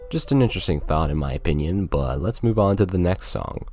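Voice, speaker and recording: Low-voiced young man, lively intonation. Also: fairly quick. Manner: informal, conversational, slangy. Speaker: video game reviewer. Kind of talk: casual scripted video essay narration. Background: music.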